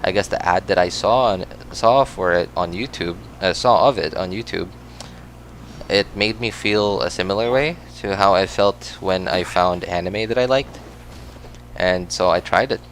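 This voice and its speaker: Rambling Filipino man, low voice. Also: normal speed, fairly bright tone, a little hiss or hum, low-quality recording. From Philippines. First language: Cebuano. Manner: rambling, full of fillers and self-corrections. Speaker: gaming streamer. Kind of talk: casual chat while playing a game.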